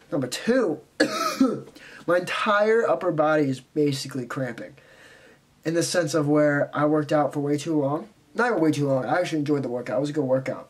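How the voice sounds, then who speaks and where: A young man with a cold, sounding stuffy and congested, rambling casually to the camera from his bedroom. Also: a little high. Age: young adult. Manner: rambling, chatty, quick asides. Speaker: vlogger. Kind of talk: casual vlog talk to camera. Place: bedroom, indoors, small. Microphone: camera in front at close range.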